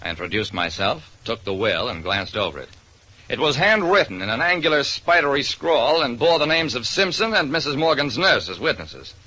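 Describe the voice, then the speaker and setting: Brisk radio private eye, animated delivery. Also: male voice, mid-range voice. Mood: dramatic narration. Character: wry male private detective narrating his case. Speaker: narrator. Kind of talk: 1940s radio detective acting, scripted narration. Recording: noisy vintage radio recording.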